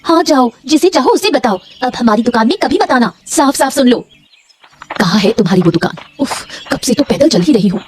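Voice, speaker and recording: A woman's voice: a little high, animated, a little fast, a little hiss or hum, natural voice. A woman voicing a dramatic, emphatic female character in a moral-story cartoon. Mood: haughty, angry. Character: animated storybook women: princess, wife, sweet seller.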